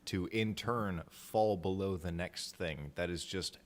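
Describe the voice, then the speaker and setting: Lower-voiced man in profane livestream banter. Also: slow pace. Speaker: improv show streamer. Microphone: remote video call on Zoom.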